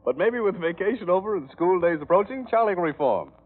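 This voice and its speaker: A man's voice: high-pitched, lively intonation, fast, phone-quality sound. A man announcing as host of a radio variety show, in scripted 1930s broadcast style.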